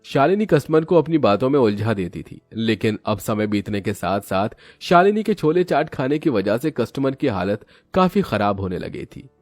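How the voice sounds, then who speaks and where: Male narrator, scripted children's story, very lively intonation, dry sound, background music. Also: medium pitch, moderate pace, hardly any pauses, no echo.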